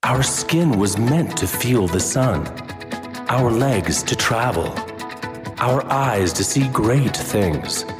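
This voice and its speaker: A man's voice: mid-range voice, lively intonation, takes it slowly. An American man delivering scripted advertisement narration as a commercial voice-over actor. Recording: studio voice-over with music bed. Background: music.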